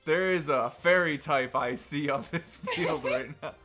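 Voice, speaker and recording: A man's voice: fairly high pitch, measured pace, bright, forceful tone. A man doing casual game commentary among friends with playful, mock-dramatic trash talk. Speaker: gamer in group commentary. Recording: game music behind the voice. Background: game music.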